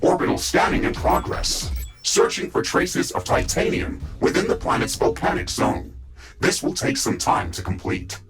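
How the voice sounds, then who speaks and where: A dutiful machine intelligence from a sci-fi game, synthetic and gravelly. It delivers formal, procedural reports in clipped phrases that jump up and down in pitch. Music plays clearly behind it. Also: male voice, big pitch swings, moderate pace. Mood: composed, procedural. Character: male AI of a mech suit, composed, dutiful advisor. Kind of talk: video game voice acting, status reports.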